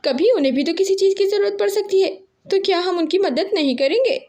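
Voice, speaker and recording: A woman's voice: extremely high pitch, a little fast, mellow, pitched above the performer's own voice. A woman voicing an earnest, kind young girl bird who coaxes and pleads in a cartoon. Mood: pleading. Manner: earnest, coaxing. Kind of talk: Hindi cartoon character acting.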